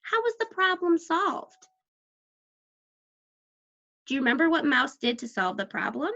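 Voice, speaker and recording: A woman teacher talking young children through a read-aloud lesson, asking questions, leaving pauses for answers and encouraging them. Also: high-pitched, sing-song, gravelly, pushed and bright. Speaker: elementary school teacher. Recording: dull lo-fi sound.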